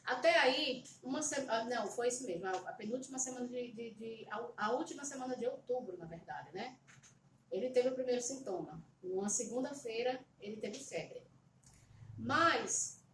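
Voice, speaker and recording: A middle-aged Brazilian woman telling a personal story to camera from her home, hesitant and repeating words with many fillers. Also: fairly high pitch. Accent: Brazilian Portuguese. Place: home, at a table. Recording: roomy echo, slightly distant mic, faint background hum.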